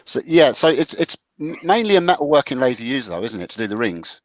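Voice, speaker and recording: A man co-hosting a podcast, asking relaxed, halting questions in casual chat. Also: mid-range voice, no room sound, lo-fi sound. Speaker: podcast co-host.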